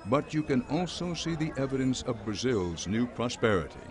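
A man reporting a documentary news story in a measured, scripted voice-over. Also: medium pitch, sing-song, slight background noise.

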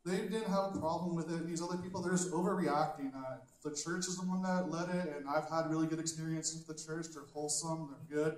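A young man doing a stand-up comedy set on a small stage with a handheld mic, telling dark jokes bluntly and chatting with the crowd between jokes. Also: on the high side, flat delivery, a little fast, few pauses. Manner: dark, blunt humor with conversational asides. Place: large wood-panelled hall with a small stage. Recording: very echoey hall from a distant camera.

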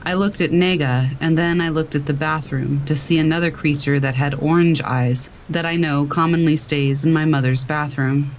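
A man's voice: a little high, normal speed, hardly any pauses, low-quality recording. A man reading scary stories as a scripted narration. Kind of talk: scripted horror story narration. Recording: steady rain noise over voice. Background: rain sound.

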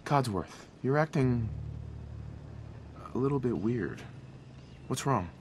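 An actor playing a shaken, grieving but determined father in a video game, halting and earnest. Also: mid-range voice, expressive, slight background noise. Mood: puzzled, concerned. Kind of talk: naturalistic video game acting.

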